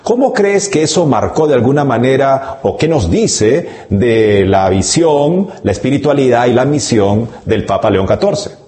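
A man hosting a formal broadcast interview, asking measured, courteous questions. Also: mid-range voice, lively intonation, dark tone.

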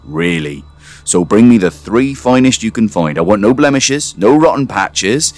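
A man's voice: lowish voice, lively intonation, moderate pace, pitched above the performer's own voice, natural voice. Man as a firm, fatherly scarecrow farmer. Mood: instructing. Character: large scarecrow-like farmer father, affectionate but exacting.

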